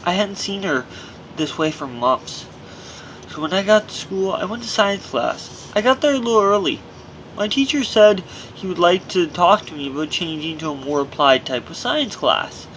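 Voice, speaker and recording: Male author narrating own memoir, slightly high voice, earnest, amateur recording with light background noise. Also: animated, normal speed, a bit bright, rough recording. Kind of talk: scripted audiobook narration.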